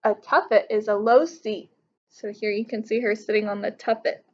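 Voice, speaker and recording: A woman's voice: medium pitch, steady tone, moderate pace, darkish tone, lo-fi sound. A woman in her thirties reading a picture book aloud and explaining it to children in an instructive way. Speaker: teacher reading to young pupils. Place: living room couch at home.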